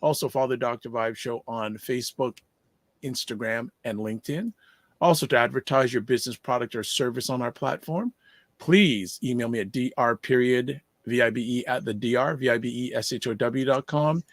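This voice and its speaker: A man hosting a casual online morning show, upbeat, reading sponsor details slowly and carefully. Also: mid-range voice, dry recording, studio quality. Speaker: podcast host.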